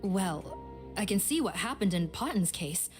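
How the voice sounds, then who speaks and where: An actress playing an exacting female investigator in a video game, crisp, matter-of-fact and sceptical. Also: medium pitch, animated, unhurried, darkish tone, faint music behind, natural voice. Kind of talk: video game dialogue acting.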